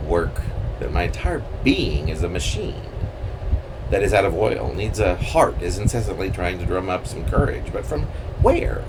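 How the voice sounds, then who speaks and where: A man reading his own poem aloud in a theatrical, halting manner with swooping emphasis. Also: sits low, background noise. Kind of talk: dramatic poetry reading.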